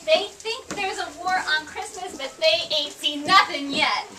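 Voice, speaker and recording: A woman's voice: extremely high pitch, unhurried. A woman voicing a spirited, defiant little orphan girl in an amateur puppet show. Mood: scheming. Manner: spirited, rallying. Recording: roomy, distant, noisy live room.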